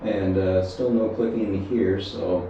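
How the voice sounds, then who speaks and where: A man speaks from a little way off the mic in a strongly reverberant room, with faint noise behind him. His voice is on the low side, and he talks casually and plainly, like someone reporting on a gear test. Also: steady tone, slow, dark tone, highs cut off. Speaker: reviewer testing walkie-talkie radios. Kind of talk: casual field-test narration. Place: inside a metal fire tower with windows. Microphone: action camera. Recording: roomy echo, distant mic, faint noise.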